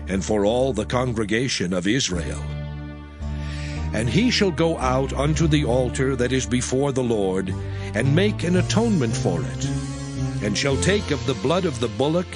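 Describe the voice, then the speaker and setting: A man narrating scripture as a measured, expressive reading in archaic English. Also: mid-range voice. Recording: music bed under the voice. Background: music.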